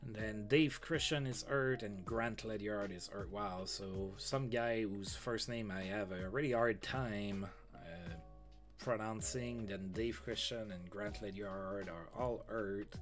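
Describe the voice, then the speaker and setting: A man with a fairly low voice talks through a management game live, chatty and relaxed as he reads out news items and adds his own asides. Soft music plays faintly behind him. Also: takes it slowly. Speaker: game streamer. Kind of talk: casual let's-play commentary.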